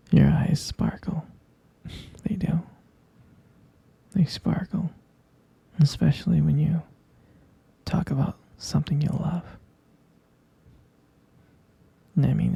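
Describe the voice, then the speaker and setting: A man playing a doting, gently teasing vampire boyfriend in a whispered ASMR roleplay, with a breathy, husky delivery, hesitant and tender. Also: on the high side, dry recording, heavily acted. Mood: adoring. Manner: slow, hesitant, tender pet names.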